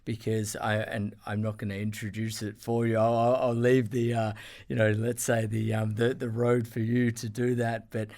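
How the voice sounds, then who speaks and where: A man's voice: fairly low pitch, level tone, moderate pace, a little mellow. A man hosting a podcast interview, effusive and full of fillers and restarts. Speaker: podcast host. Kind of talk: casual interview chat.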